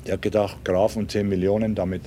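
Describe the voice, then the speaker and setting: A man's voice: fairly low pitch, flat delivery, quick pace, mellow, slight background noise. A man being interviewed, talking chattily and tagging his sentences with little questions. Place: Miami.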